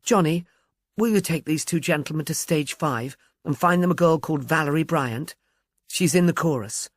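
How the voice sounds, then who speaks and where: A man voicing a frank, brisk woman at a film studio, gossipy and matter-of-fact, in an audiobook. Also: on the high side, dry recording, close to an everyday voice. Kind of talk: audiobook character dialogue.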